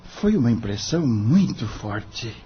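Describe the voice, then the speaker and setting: Audio drama actor, male: polite young Brazilian lawyer, animated pitch, muffled lo-fi sound. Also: measured pace, a little hiss or hum. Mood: earnest, thoughtful. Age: young adult. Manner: courteous, deferential. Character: earnest, polite young lawyer, devoted son.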